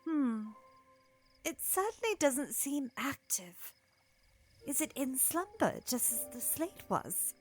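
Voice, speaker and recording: A woman's voice: sits high, very animated, slow, faint music behind, exaggerated voice. A woman playing a proud, curious young princess in an audio drama, theatrically musing and exclaiming to herself.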